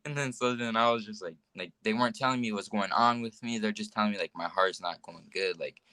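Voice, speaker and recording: A man's voice: lowish voice, brisk, sharp tone, no room sound. A teenage boy guest from the United States telling personal stories casually in a rambling way, saying "like" very often. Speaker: guest, young social media influencer.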